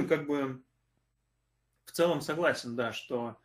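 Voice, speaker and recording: A young man, a composer, talking on a video call at a relaxed pace, hesitant and full of fillers. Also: a little high, slow. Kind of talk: casual video call chat.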